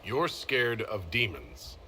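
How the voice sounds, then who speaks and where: Heroic game leader, slightly low pitch, bright tone, measured, concerned, questioning, faint noise. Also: male voice, big pitch swings, heavily acted. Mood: curious. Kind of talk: video game cutscene dialogue.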